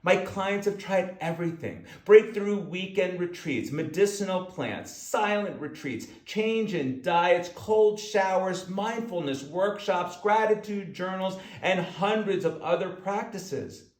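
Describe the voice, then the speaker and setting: A man's voice: high-pitched, slow pace, few pauses. A man reading his own self-help audiobook, speaking emphatically and directly to the listener. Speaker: author narrating own audiobook. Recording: echoey room sound.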